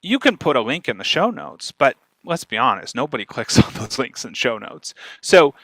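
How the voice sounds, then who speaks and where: Guy explaining stuff in an online talk, punchy bright voice, emphatic and chatty, really dry close sound. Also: mid-range voice, big pitch swings, normal speed, dry recording, low-quality recording. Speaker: guest speaker giving a marketing talk. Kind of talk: informal online presentation, explaining.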